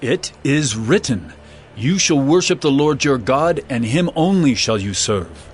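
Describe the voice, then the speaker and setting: A man playing a solemn, authoritative preacher with measured, earnest, declamatory speech in a dramatized scripture reading. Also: medium pitch, expressive, a little hiss or hum, natural voice. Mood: firm.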